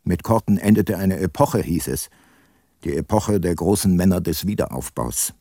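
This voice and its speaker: Deep-voiced, brooding older detective. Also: male voice, expressive, warm tone, husky, no room sound, clean studio sound, full character voice. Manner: measured, introspective. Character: aging male detective narrating his guilt, sombre, reflective. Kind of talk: radio drama first-person narration.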